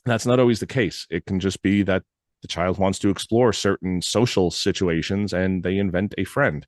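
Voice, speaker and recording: A man from New York hosting a podcast in a casual, rambling monologue, his deep voice hoarse and froggy from a recent illness. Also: steady tone, moderate pace, no echo, clean studio sound. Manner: rambling, loose, with frequent "uh" fillers. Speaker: podcast host.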